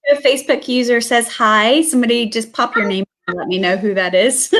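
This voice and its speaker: A woman's voice: a little high, steady tone, fairly quick, fairly bright tone. A woman from South Georgia hosting a casual livestream interview, chatty and friendly, with frequent "um"s and "yeah"s.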